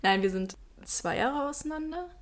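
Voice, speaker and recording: A woman's voice: high-pitched, animated, moderate pace. Blunt young woman with dry humor. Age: 20s. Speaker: podcast co-host. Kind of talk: casual chat.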